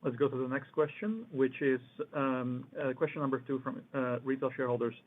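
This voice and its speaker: A man moderating a formal question session, reading out submitted questions in a halting way. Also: medium pitch. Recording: noisy thin phone line with clicks.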